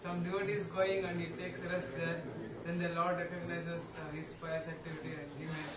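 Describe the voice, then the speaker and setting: A man's voice: a little high, completely flat delivery, brisk, lo-fi sound. A man in the audience hesitantly sharing a story, with fillers and a sheepish laugh. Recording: distant in an echoey room, loud noise.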